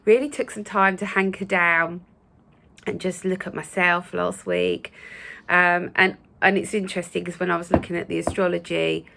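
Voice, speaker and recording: A woman hosting her own channel, speaking in a casual, gentle, reassuring way with a croaky voice from a recent cold and many fillers. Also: on the low side, fairly even intonation, moderate pace. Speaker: spiritual channel host. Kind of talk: casual spoken talk to viewers.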